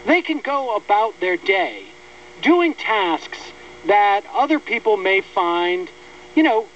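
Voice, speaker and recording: An American man hosting a political radio interview in a conversational, wry, halting way, repeating words as he forms his points. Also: sits high, big pitch swings, fairly bright tone. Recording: distant, dull lo-fi radio audio, faint hum.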